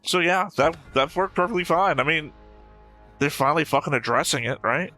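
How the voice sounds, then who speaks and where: Blunt man with a bright, forceful voice. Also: fairly high pitch, a little fast, faint music behind. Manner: blunt, opinionated, swears freely. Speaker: reaction show co-host. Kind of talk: casual reaction commentary.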